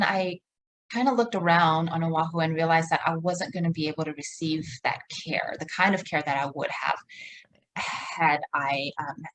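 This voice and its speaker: A woman from Malaysia, a podcast guest, telling her story slowly and thoughtfully with pauses and fillers. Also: low-pitched, a bit bright, slight background noise, rough recording. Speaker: guest, co-creator of a womb garden. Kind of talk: podcast interview, reflective storytelling.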